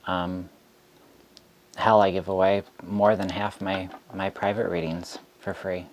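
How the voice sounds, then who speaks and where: A man who reads tarot, speaking straight to camera in a frustrated rant, deliberate and halting with frequent ums. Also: low-pitched, flat delivery, a little hiss or hum, low-quality recording. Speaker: tarot reader.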